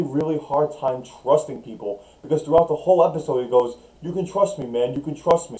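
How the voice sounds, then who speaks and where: A man's voice: fairly high pitch, mellow, poor audio. A young man casually recapping and theorizing about a TV episode in a chatty vlog. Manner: chatty and theorizing, quick bursts. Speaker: show recap vlogger.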